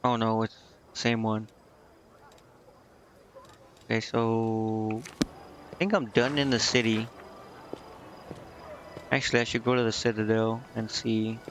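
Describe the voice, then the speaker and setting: A man giving casual game commentary as a streamer, rambling and thinking out loud. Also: fairly low pitch, steady tone, unhurried, pauses often. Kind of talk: casual gameplay commentary, reading game dialogue aloud. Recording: muffled mic with clear background noise.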